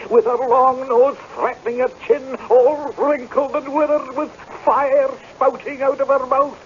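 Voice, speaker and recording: High-voiced, excitable young Scotsman. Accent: Scottish. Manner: stammering, agitated storytelling. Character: excitable young Scottish tenant man, earnest, easily flustered. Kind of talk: radio drama acting. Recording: dull, noisy old radio recording.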